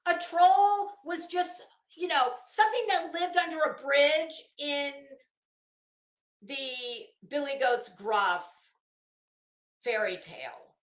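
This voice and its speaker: Middle-aged female commentator, higher-pitched, deliberate and halting, casual live style, echoey room sound. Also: fairly bright tone. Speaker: YouTube commentator and host. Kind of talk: casual live commentary with document read-outs.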